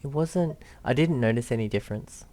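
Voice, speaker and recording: A woman's voice: a bass voice, a little fast, dark tone. A woman giving casual, amused, exclamatory reactions as she plays a video game. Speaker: let's play streamer. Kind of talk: casual gameplay reaction commentary. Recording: game music behind the voice.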